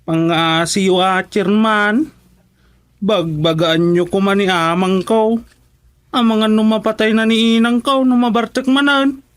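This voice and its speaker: A pleading young lad in a radio comedy drama. The voice is very high and slow, plaintive and deferential, recorded dry and close. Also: male voice, steady tone, a bit bright, smooth, no room sound. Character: troubled, pleading young son of a drunkard.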